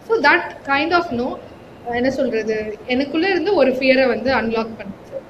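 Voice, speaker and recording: A woman's voice: expressive, rapid-fire, lo-fi sound. A woman with a Tamil Indian accent, telling her experiences conversationally in an interview, with emphatic phrasing and frequent verbal tags. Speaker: HR professional, interview guest. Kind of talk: conversational interview, code-switching storytelling. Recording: clearly noisy with low rumble.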